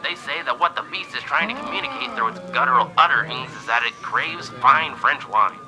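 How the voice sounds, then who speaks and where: Sharp, fanatical general on the telephone. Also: male voice, medium pitch, big pitch swings, fairly quick, faint music behind. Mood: scornful. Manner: gruffly zealous, ranting. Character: fanatical, fire-obsessed male army general. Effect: old telephone line.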